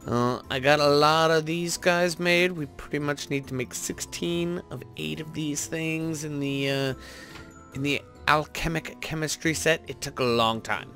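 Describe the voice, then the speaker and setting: A man's voice: fairly high pitch, measured pace, pushed and bright, faint music behind. A man hosting a gaming video, talking casually and informally as he thinks aloud while playing. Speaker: host of a gaming video series. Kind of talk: casual gameplay commentary.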